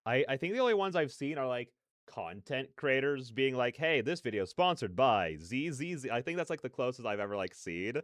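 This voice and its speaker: A man hosting a reaction video, giving casual, slangy and excitable commentary. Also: medium pitch, a little fast, no room sound, clean studio sound.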